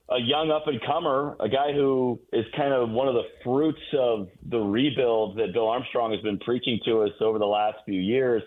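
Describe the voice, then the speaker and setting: A man giving sports analysis as a guest announcer on a radio show, heard over a phone-like line. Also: mid-range voice.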